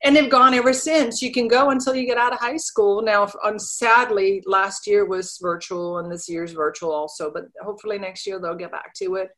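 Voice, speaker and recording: Woman, middle-aged, podcast guest, casual chat, animated storyteller. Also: medium pitch, a little fast, few pauses. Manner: chatty, animated storytelling with acted-out quotes.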